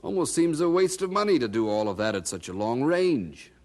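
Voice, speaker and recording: An actor playing a genial, skeptical colonial printer in an audio drama, speaking in a wry, amused, conversational way. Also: male voice, medium pitch, sing-song, a little fast, darkish tone, close to an everyday voice. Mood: probing.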